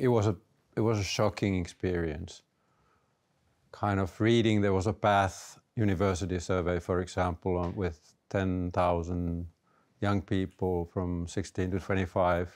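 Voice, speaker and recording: A man's voice: low voice, darkish tone. A man in his fifties with a Finnish accent, speaking hesitantly as a guest in a panel discussion, with many fillers and restarts. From Finland. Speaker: panel guest, education leader. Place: indoor library room with bookshelves.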